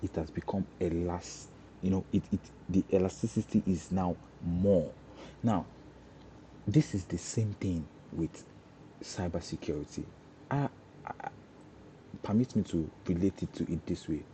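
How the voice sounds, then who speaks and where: A man's voice: low voice, unhurried, dark tone, a little hiss or hum, low-quality recording. A young Nigerian man, a Yoruba speaker, giving an informal talk on breaking into cybersecurity, often restarting and repeating words as he explains. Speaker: cybersecurity trainer.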